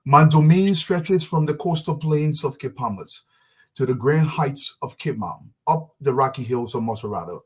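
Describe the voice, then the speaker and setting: A man from Liberia with a Liberian accent, a talk show guest making an impassioned speech and reading a poem. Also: mid-range voice. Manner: emphatic, repeats key phrases for force.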